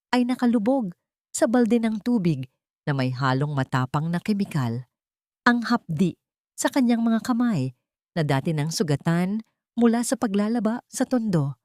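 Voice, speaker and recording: A woman audiobook narrator reading a scripted dramatic story with solemn, deliberate phrasing. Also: fairly low pitch, a little mellow, no room sound, studio quality.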